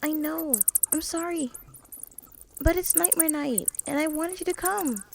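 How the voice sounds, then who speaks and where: Woman doing a bubbly, high-pitched young pony princess. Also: measured pace, vocal fry, darkish tone, slight background noise. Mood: apologetic. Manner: apologetic, bubbly, exclaiming. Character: eager, friendly young pony princess, sweet and polite.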